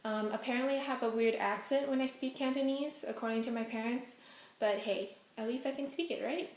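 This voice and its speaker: Young woman vlogger, first language Hokkien, slightly high voice, casual and chatty in a roomy echo. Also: a little fast, a little mellow. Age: young adult. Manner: chatty, many ums, giggly asides. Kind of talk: casual Q&A vlog. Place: indoors at home, face out of frame.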